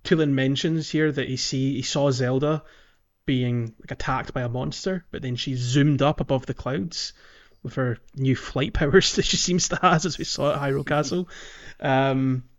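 A male podcast host with a mid-pitched voice talks casually about video games. He tends to chuckle partway through sentences. The audio is a little dull and lo-fi. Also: steady tone, moderate pace. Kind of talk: casual video game discussion.

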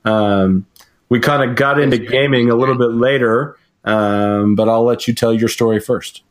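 A man's voice: medium pitch, fairly even intonation, moderate pace. A man from Connecticut, a game designer guest on a podcast, explaining earnestly with fillers and restarts. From Connecticut, USA. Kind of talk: casual podcast conversation.

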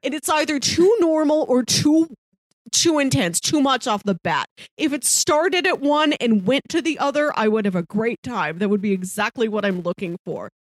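A woman's voice: fairly high pitch, expressive, normal speed, dry recording. Dry-sounding woman in casual review chat. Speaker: podcast co-host.

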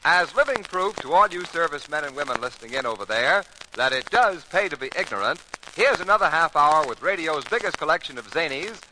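A man's voice: a little high, animated, brisk, bright, forceful tone, poor audio. A man announcing a 1940s radio comedy show in a showy scripted introduction. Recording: old radio broadcast, faint noise.

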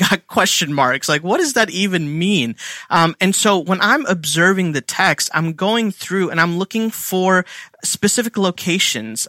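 A man's voice: on the high side, pushed and bright, dry recording, clean studio sound. A man teaching Bible study in a casual way, full of fillers, who asks questions and then answers them himself. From India. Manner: conversational, filler-heavy, asks and answers his own questions.

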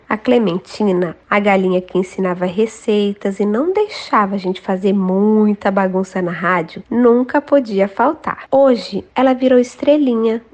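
A woman, a storyteller narrating memories in an expressive, sentimental way. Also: mid-range voice. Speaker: children's storyteller. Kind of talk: scripted nostalgic personal narration.

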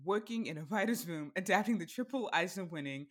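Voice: medium pitch, expressive, brisk, fairly bright tone. A podcast host who reads a guest's intro and then chats in an upbeat, giggly way. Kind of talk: casual interview hosting.

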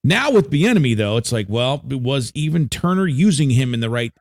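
A man's voice: medium pitch, big pitch swings, a little fast, no echo, studio quality. An American man chatting casually about sports as a podcast co-host, with slangy, fan-style talk. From Frederick, Maryland, United States.

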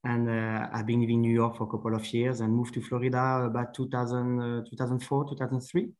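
A man from France with a French accent, an accountant answering interview questions haltingly with many fillers. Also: mid-range voice, completely flat delivery, darkish tone. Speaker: accountant, podcast guest.

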